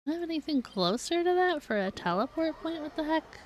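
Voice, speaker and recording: A woman's voice: high-pitched, very animated, fairly quick, slight background noise. A woman game streamer giving chatty, informal, self-deprecating live commentary as she plays. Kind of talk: casual live gameplay commentary.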